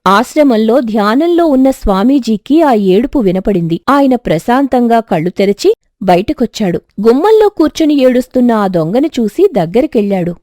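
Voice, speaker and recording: A woman narrating a scripted moral tale in an expressive storytelling manner. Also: fairly high pitch, normal speed, no echo, clean studio sound.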